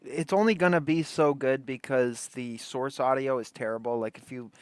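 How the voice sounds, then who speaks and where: A man's voice: mid-range voice, fairly even intonation, normal speed, smooth, dry recording. A man hosting a livestream, chatting casually with viewers. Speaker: livestream host.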